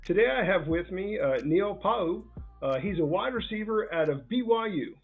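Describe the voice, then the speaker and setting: Male sports interviewer, slightly high voice, informal and halting, heard through a narrow telephone-like line. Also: expressive, breathy edge, soft background music. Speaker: interviewer and football scout. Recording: thin, narrowband phone-like call sound.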